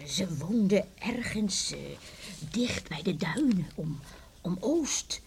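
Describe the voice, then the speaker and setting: An actress playing a lively older grandmother in a radio drama, telling eerie tales with chuckles and hushed suspense. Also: medium pitch, takes it slowly, slight background noise. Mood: eerie, confiding. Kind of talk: radio drama acting, storytelling.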